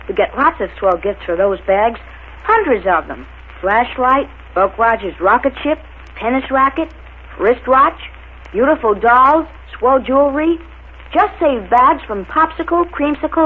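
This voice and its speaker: A performer playing an eager, boyish kid giving an excited, slangy 1930s radio sales pitch. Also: close to an everyday voice. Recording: tinny, noisy old radio broadcast recording.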